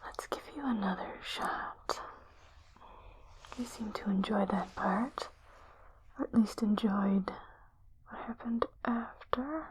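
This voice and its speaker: A woman playing a calm, clinical doctor in an ASMR roleplay on an alien planet, whispering slowly in a breathy, measured way. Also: mid-range voice, slight background noise, natural voice, poor audio. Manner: slow, measured, softly clinical.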